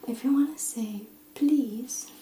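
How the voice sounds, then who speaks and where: A woman teaching simple phrases in a soft, often whispered, encouraging way while seated at a table indoors. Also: high-pitched, slow, roomy sound, slight background noise. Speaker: language teacher.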